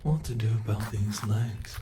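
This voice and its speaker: A man voicing a sadistic male monster in an ASMR horror roleplay, talking in a slow, taunting way that relishes cruelty. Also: lowish voice, background noise, close to an everyday voice. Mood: musing, cruel.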